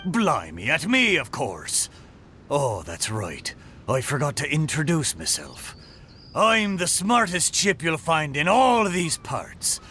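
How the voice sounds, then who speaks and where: An actor voicing a talking boat in a video game, a jolly, boastful old sailor with hearty pirate slang. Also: male voice, on the high side, huge pitch swings, takes it slowly, sharp tone, a little hiss or hum, full character voice. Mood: hearty, amused. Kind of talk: video game character acting.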